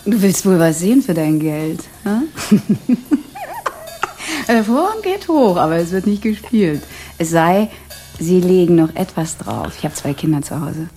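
Brash, sharp-tongued prostitute. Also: female voice, lowish voice, very animated, unhurried. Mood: mocking. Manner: coarse, scornful, mocking. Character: brash, sharp-tongued East Berlin prostitute, proud and mercenary. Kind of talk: German radio play acting. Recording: studio radio play with music behind.

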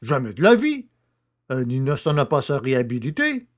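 An actor playing a stubborn, naive village poacher, protesting and eager, in a French audio drama. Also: male voice, mid-range voice, wildly swooping pitch, normal speed. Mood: indignant, stubborn. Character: wrongly jailed village poacher, stubborn, naive, easily flattered.

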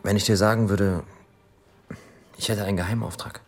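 Casting: male actor playing sullen, hurt young-hearted cop for radio drama, low voice, faint music. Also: steady tone, moderate pace, natural voice. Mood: wounded, sullen. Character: earnest, wounded male policeman, quietly lovesick. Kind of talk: German radio drama acting.

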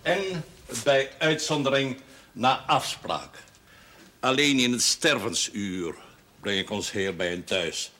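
Stern, pompous old pastor. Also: male voice, medium pitch, bright, forceful tone. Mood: reproachful, imperious. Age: older. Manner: formal, pompous, measured and admonishing. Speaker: actor in a television drama series. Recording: faint background noise, old television audio.

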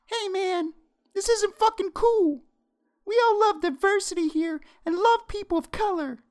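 A man's voice: extremely high pitch, measured pace, a little mellow, pitched above the performer's own voice. A man voicing an irritated young woman from a gaming group, lecturing indignantly.

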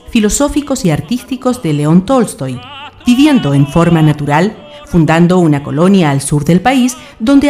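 Dark-voiced woman narrating radio drama. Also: lowish voice. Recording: faint music behind. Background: music.

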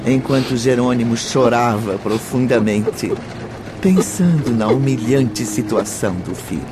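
A male narrator tells a dramatic radio story. His voice is mid-pitched, set over clear background music. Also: a little slow.

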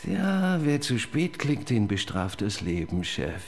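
A man's voice: lowish voice, sing-song, slow pace, darkish tone, heavily acted. An actor playing an awkward male police assistant in a radio crime drama, who stammers when questioned. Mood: chatty. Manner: flustered, stammering when pressed.

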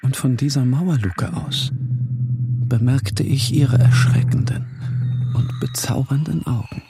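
Male narrator, very slow delivery, solemn, dramatic pauses, literary audiobook, clear music underneath. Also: mid-range voice. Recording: music behind the voice.

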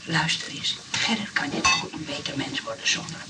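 An actress playing a bossy, excitable but kind-hearted nursing sister in a comic radio play, brisk and scolding, with a Netherlands Dutch accent. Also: female voice, sits low, roomy sound, lightly acted. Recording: distant, noisy old radio recording.

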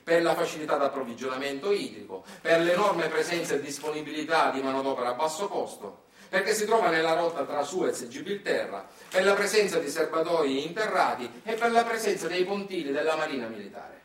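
A man's voice: a little high, expressive, a little fast, hardly any pauses, fairly bright tone. A man with a Sicilian accent performing a dramatic, ironic storytelling monologue, slipping into dialect. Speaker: stage storyteller, monologue actor. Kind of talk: theatrical narrative monologue, satirical storytelling. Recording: roomy, distant microphone.

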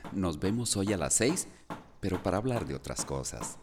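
Polite, reassuring male villager for radio serial, very low voice, hesitant, faint noise. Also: lively intonation, moderate pace, darkish tone. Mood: shyly flirtatious. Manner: hesitant, with small fillers. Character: polite, reassuring local man courting a teacher. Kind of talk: Spanish-language radio drama acting.

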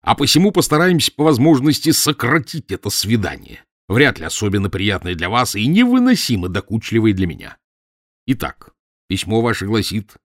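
A man voicing an irascible older professor with a gruff, blustering, scornful manner in a spoken storybook. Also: lowish voice, dry recording, studio quality. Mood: curtly dismissive. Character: irascible short bull-necked male professor, older.

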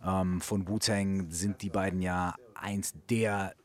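A man's voice: deep voice, little pitch movement, slow pace. A man co-hosting a radio show in casual, slangy German sprinkled with English hip-hop terms.

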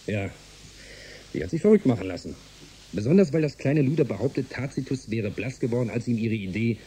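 A man's voice: medium pitch, expressive, fairly quick, warm tone, husky. A man playing an offhand, middle-aged engineer and family man in a German science fiction radio drama, talking in a colloquial, flippant way. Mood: flippant, exasperated.

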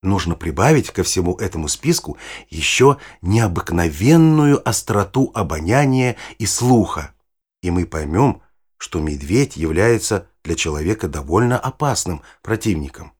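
A man playing a knowledgeable nature guide, narrating a children's audio play in a measured, expressive, explanatory way. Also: low voice, slightly rough, exaggerated voice. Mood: expressive explaining. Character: knowledgeable guide showing children forest animals. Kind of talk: scripted storytelling narration for children.

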